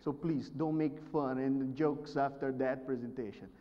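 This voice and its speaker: A man's voice: medium pitch, fairly even intonation, moderate pace. Nervous Brazilian man presenting on stage. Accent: Brazilian Portuguese. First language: Portuguese. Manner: polite, apologetic. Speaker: presenter, market analyst. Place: conference stage in a hall. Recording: dull sound, long hall reverb.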